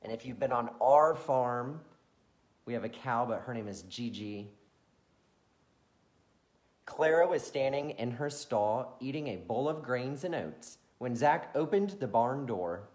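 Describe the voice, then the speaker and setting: A man reading a children's picture book aloud as a bedtime story, expressive and playful, with friendly asides. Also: mid-range voice, unhurried. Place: farm, reading at home to camera.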